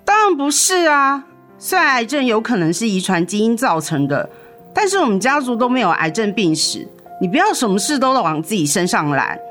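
A woman's voice: on the high side, sing-song, brisk, a bit bright, soft background music. A woman playing a protective, outspoken elder sister in a radio drama, emphatic and sympathetic. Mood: reassuring.

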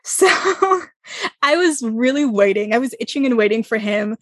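A woman's voice: on the high side, a little slow, no room sound, studio quality. A young woman from Israel chatting animatedly about a musical, laughing often. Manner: animated, laughs often, self-described loud. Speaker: co-host discussing musicals. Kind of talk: casual podcast chat.

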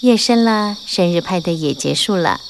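Expressive woman reading a children's story. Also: lowish voice, moderate pace, gravelly, slight background noise. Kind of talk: scripted children's audiobook narration.

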